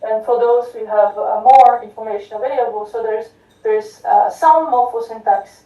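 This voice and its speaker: A woman's voice: on the high side, steady tone, normal speed, warm tone. Woman giving an academic talk in an echoey hall. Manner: many fillers and restarts, addresses audience with "right?". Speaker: conference speaker. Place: lecture hall. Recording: distant echoey lo-fi hall recording.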